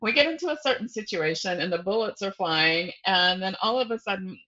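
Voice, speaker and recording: A woman's voice: medium pitch, brisk, highs cut off. A woman author being interviewed, chatting casually and telling stories by acting out thoughts and bits of dialogue. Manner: animated storyteller, acts out thoughts and dialogue.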